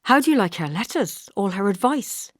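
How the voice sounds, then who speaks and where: A woman voicing a sensible, concerned young woman in an audiobook, earnest and gently chiding. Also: mid-range voice, sing-song, moderate pace, no room sound, studio quality, pitched above the performer's own voice. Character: sensible, concerned young woman visiting her friend, reassuring.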